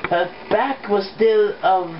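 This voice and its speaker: An amateur co-reader narrating fan fiction aloud in a deliberate, halting storybook style with swooping emphasis. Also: medium pitch. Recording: dull lo-fi mic with background noise.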